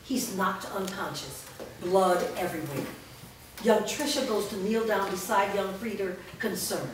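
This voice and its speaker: A woman's voice: medium pitch, unhurried. A woman reading scripted stage directions aloud as narrator of a staged reading. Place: large echoey room, recorded from afar. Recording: echoey room, distant mic, noisy.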